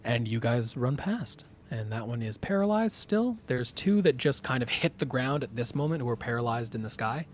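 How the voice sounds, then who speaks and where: A man running a tabletop game as game master, narrating the action casually and thinking aloud in halting phrases. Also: mid-range voice, expressive, soft background music.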